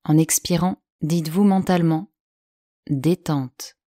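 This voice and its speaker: A woman with a slightly low voice leads a guided yoga nidra relaxation. She speaks slowly and soothingly, leaving long pauses between instructions. The recording is dry and close, with no room sound. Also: no room sound. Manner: slow, calm, soothing delivery with long pauses.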